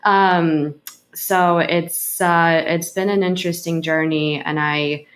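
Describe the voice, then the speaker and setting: A woman from Ukraine whose first language is Russian, giving earnest interview answers and pausing often as she thinks. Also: lowish voice, steady tone. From Kharkiv, Ukraine. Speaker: interview guest, healthcare executive.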